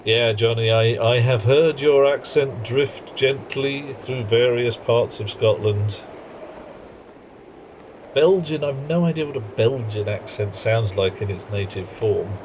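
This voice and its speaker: A man's voice: medium pitch, slow, darkish tone, slight background noise, poor audio. A man streaming a game live, chatting wryly with viewers in a dry, muttering way. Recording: game stream with background music.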